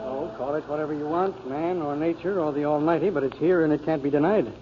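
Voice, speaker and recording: A man's voice: on the high side, fast talker, natural voice. An actor playing a mild, talkative night watchman and homespun philosopher, genteel and wordy, in 1940s radio drama style. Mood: musing, amiable. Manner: genteel, wordy, reflective phrasing. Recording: muffled, hissy 1940s radio broadcast recording.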